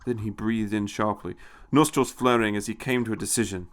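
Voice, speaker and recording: A man's voice: fairly low pitch, fairly even intonation, fairly quick. A man reading a short science fiction story as scripted dramatic narration.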